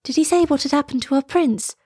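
A woman's voice: high-pitched, fast, a little mellow, no echo, clean studio sound, voice pushed up, close to an everyday voice. A woman voicing an earnest, polite young girl who speaks with pleading emotion in a children's storybook. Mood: distressed. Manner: pleading, exclamatory.

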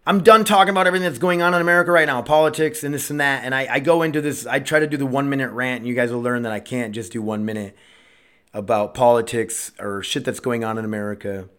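A man's voice: mid-range voice, steady tone, fast, fairly bright tone, smooth. A man in his late thirties hosting a casual solo podcast, rambling and restarting his sentences, swearing freely. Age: 30s. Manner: rambling, profane, self-interrupting. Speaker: podcast host.

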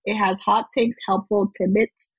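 A woman's voice: mid-range voice, little pitch movement, normal speed, slightly rough, no echo, low-quality recording. A woman with a Haitian accent co-hosting a casual podcast, upbeat and enthusiastic. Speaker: podcast co-host. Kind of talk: casual chat.

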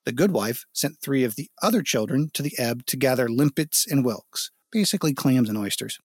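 A man's voice: mid-range voice, lively intonation, fairly quick, a little mellow, breathy edge, dry recording. Man telling a folk tale, very dry sound. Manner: dramatic, with explanatory asides. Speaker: storyteller. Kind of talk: expressive scripted folk tale narration.

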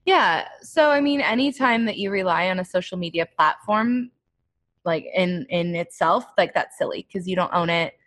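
A woman with a somewhat low voice talks about building her business in a relaxed, reflective way. Her speech is chatty and loose, with plenty of "like" fillers. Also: normal speed. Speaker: entrepreneur guest. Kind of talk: casual interview talk.

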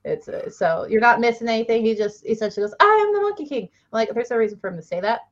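A woman's voice: fairly high pitch, expressive, quick pace. Chatty woman with a slightly muffled sound. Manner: rambling, laughs often, many fillers. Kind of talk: casual book club chat.

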